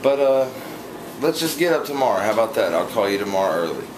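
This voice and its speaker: A young man making a prank phone call from a home kitchen at night, talking casually into a phone held close, teasing and smooth-talking with plenty of slang. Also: fairly low pitch, very animated, fast. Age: young adult. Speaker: prank caller. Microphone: phone held close to mouth, camera close by. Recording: noisy home recording.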